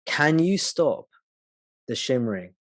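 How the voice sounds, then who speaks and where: A man's voice: mid-range voice, big pitch swings, takes it slowly, no room sound, studio quality. A man leading a satsang, explaining spiritual teachings patiently and thinking aloud as he goes.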